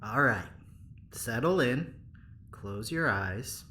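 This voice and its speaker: An actor playing a breezy male lab assistant in an audio drama, flippant and teasing, with dark humour. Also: medium pitch, animated, slow pace, slight background noise.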